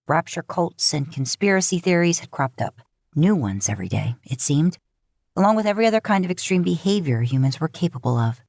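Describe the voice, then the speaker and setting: A woman narrating scripted fiction in an audiobook style, with measured, deliberate phrasing into a close mic. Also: deep voice, darkish tone, dry recording. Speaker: podfic narrator.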